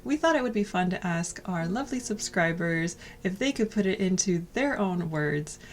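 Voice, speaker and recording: Soft-spoken American woman co-hosting a vlog. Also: lowish voice, lively intonation, moderate pace. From United States. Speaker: vlog co-host. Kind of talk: casual cozy chat and sponsor read.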